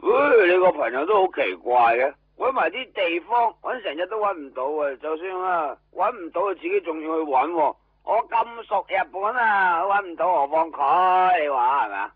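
A man's voice: high voice, lively intonation, normal speed, hardly any pauses, breathy edge, dry recording. An actor playing a flustered, grumbling male friend in a Cantonese radio drama, complaining in exclamations with a Hong Kong accent. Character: flustered, grumbling male buddy, exasperated but obliging. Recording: dull old radio recording, faint music.

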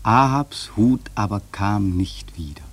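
A man acting a grave sailor who narrates a radio play in a measured, solemn literary style with dramatic swells and a husky voice. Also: fairly low pitch. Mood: solemn, ominous. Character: grave male sailor narrating a haunted whaling voyage.

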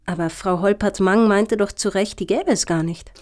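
An actress playing a well-meaning, slightly slow woman panelist in a satirical radio play. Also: medium pitch, darkish tone, close to an everyday voice. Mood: puzzled.

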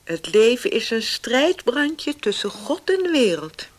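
A woman playing a gentle, God-fearing mother in a radio play, tender and earnest. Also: a little high, sing-song, measured pace. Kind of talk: radio drama acting.

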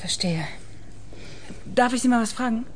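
An actress in a radio play voicing a sceptical, sober journalist in a reserved, matter-of-fact way. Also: female voice, fairly high pitch, normal speed, a little hiss or hum, close to an everyday voice. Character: sober, sceptical female journalist interviewing a scientist. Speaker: radio drama actor.

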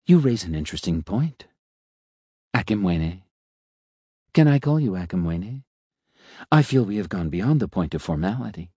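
A man voicing a polite, complacent talking elevator that muses like a philosopher, speaking softly and dryly. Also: low voice, sing-song, moderate pace, pauses often, husky, no echo, studio quality, heavily acted. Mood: musing. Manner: polite, complacent, quietly musing.

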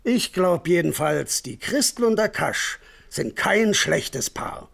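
Folksy male village informant, radio crime play; extremely gravelly, raspy voice, gossipy and well-informed. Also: sits high, big pitch swings, takes it slowly, a bit bright, heavily acted. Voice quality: gravelly, husky. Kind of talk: German radio crime drama acting.

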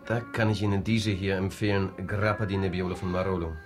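An actor playing a courteous, reserved hotel bartender in a radio crime comedy, speaking with professional politeness. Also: male voice, low-pitched, level tone, brisk, over music, close to an everyday voice. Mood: discreet, courteous. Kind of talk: German radio drama acting.

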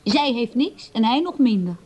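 A woman's voice: fairly high pitch, big pitch swings, normal speed, a little mellow, husky. A woman playing a blunt, cheeky young girl in a radio play, with a teasing way of talking.

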